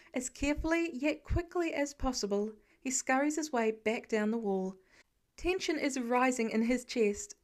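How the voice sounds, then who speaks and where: High-voiced woman narrating fiction. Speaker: podfic narrator. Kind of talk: expressive scripted fiction narration.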